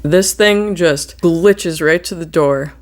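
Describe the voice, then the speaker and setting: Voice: medium pitch, slow. This is a movie reviewer with a mid-range voice, recapping horror in a casual way. The delivery rambles conversationally and is peppered with swearing.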